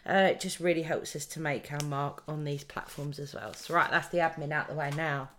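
A woman's voice: deep voice, fairly quick, fairly bright tone. A woman hosting a podcast in a casual, chatty way. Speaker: podcast host.

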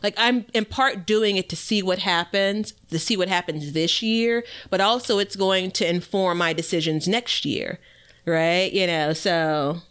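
A woman with a slightly low voice chats casually as co-host of a gardening show. She is unscripted and conversational. Her manner is playful and animated. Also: measured pace, clear, resonant tone. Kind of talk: casual unscripted co-hosted chat.

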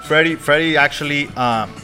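A man hosting a podcast in casual chat, halting and repeating his words. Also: on the high side. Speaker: podcast host. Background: music.

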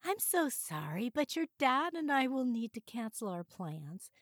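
Fussy elderly mother played by a woman narrator, chatty and rambling, pitched up, dry audiobook sound. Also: big pitch swings, a little slow, dry recording. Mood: chatty, fretful.

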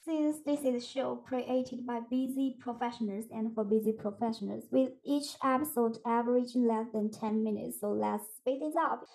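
Higher-pitched, mellow woman hosting a podcast. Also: fairly even intonation, moderate pace, gravelly, hardly any pauses, poor audio. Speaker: podcast host. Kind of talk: scripted interview hosting.

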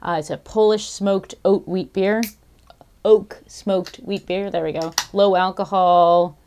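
Relaxed rambling woman guest. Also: mid-range voice, slow, slight background noise. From Connecticut, US. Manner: relaxed, rambling, self-correcting. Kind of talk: casual chat.

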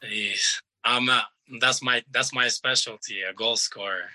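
A young man in his twenties from Iran, a soccer player answering interview questions in a relaxed, halting way with restarts. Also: mid-range voice, low-quality recording.

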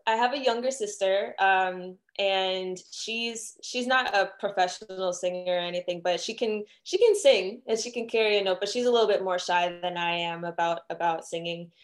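A woman's voice: medium pitch, normal speed, few pauses. A young woman from Miami, a singer-songwriter, chatting casually as a guest with lots of "um" and "you know". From Miami, Florida. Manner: chatty, many fillers and restarts. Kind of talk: casual interview answers.

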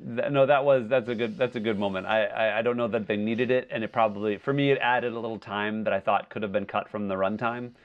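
A man co-hosting a casual movie podcast in a measured, hedging manner, recorded with slightly muffled sound. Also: lowish voice, fairly even intonation, smooth. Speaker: podcast co-host.

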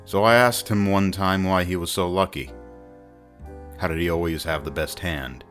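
Low, reflective storyteller for hardboiled crime drama, measured delivery over faint music. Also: male voice, fairly even intonation, a bit bright. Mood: wry, reflective. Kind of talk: scripted noir narration.

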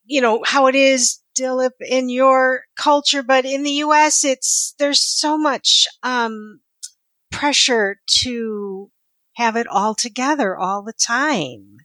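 Woman guest, slow-spoken, slightly high voice, dry close sound. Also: no room sound. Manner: earnest, measured, warm praise. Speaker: podcast guest. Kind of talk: casual conversation.

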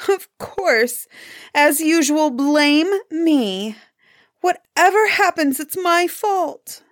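A woman's voice: high voice, animated, very slow, no echo, clean studio sound, voice pushed up, heavily acted. A woman voicing a petulant, self-pitying peasant wife who scolds and complains in a storybook. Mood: tearful, aggrieved.

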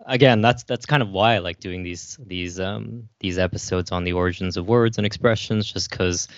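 Very deep-voiced man hosting a podcast. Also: dry recording. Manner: measured, searching for words, frequent "you know". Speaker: podcast host. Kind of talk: casual podcast discussion. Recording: dry, dull lo-fi sound.

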